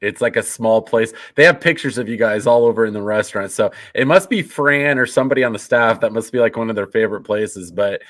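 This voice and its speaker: A man's voice: lowish voice, brisk, few pauses, a bit bright, dry recording, clean studio sound. A man with an American Midwestern accent hosting a casual sports podcast interview. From Des Moines, Iowa, United States. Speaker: podcast host.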